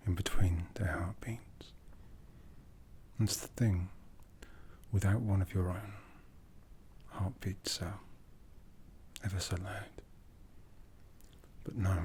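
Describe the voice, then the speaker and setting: A man playing an aloof, commanding aristocratic vampire in an ASMR roleplay, half-whispering slowly and deliberately with a breathy, seductive delivery. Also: deep voice, darkish tone, a little hiss or hum. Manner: slow, deliberate, measured.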